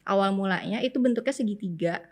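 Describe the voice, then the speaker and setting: A young woman co-hosting a podcast, telling childhood stories in a casual, animated way and laughing often. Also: mid-range voice, fast talker. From Indonesia. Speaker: podcast co-host. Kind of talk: casual chat. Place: podcast studio.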